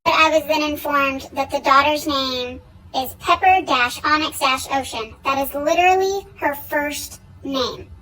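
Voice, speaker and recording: A woman's voice: high-pitched, a little slow, bright, forceful tone, low-quality recording. A young woman telling a comedic story with a sassy, exasperated, slangy delivery.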